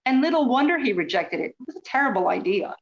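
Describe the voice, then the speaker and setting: Woman playing a higher-voiced inquisitive reporter. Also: very animated, fast, highs cut off. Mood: argumentative, determined. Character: inquisitive, persistent female radio journalist. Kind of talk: radio play acting.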